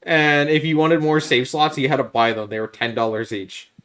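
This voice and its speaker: A man's voice: on the high side, moderate pace, fairly bright tone. A man co-hosting a podcast, chatting casually and giving his opinions on video games in an informal way. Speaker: podcast co-host.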